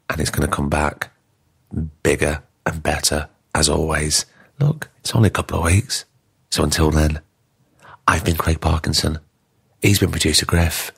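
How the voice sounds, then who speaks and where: A man's voice: very deep voice, vocal fry, a bit bright, slightly rough. A male podcast host giving an effusive, emphatic and informal closing monologue.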